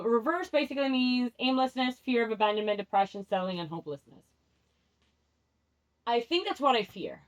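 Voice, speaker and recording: A young woman whose first language is Spanish, doing a rambling, candid tarot reading, often restarting her sentences and laughing at herself. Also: fairly high pitch. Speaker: tarot vlogger. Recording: dull lo-fi sound.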